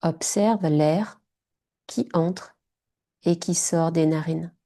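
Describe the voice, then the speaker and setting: A woman guiding a yoga nidra relaxation in short, hushed, soothing phrases. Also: low-pitched, slow. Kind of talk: guided meditation.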